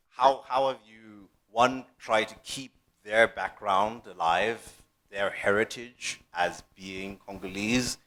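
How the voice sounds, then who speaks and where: A man's voice: fairly low pitch, sharp tone, low-quality recording. A man from South Africa whose first language is Afrikaans, moderating a panel discussion and asking thoughtful questions with frequent restarts. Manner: thoughtful, hesitant with repeated restarts.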